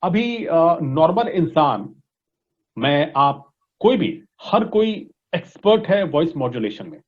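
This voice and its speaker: A man's voice: fairly high pitch, big pitch swings, slow. A man with an Indian accent explaining as a coach in an interview, mixing Hindi and English and often checking that the listener follows. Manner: didactic, often checking with "right?" and "okay?". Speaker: voice modulation coach being interviewed. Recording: dull, lo-fi sound.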